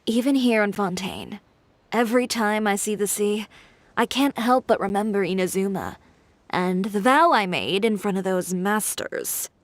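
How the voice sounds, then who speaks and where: A woman's voice: mid-range voice, normal speed, no room sound, close to an everyday voice. A woman voicing a confident, stubborn young fashion designer who talks in a cool, wry, self-assured way. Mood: defiant. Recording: game audio over soft music.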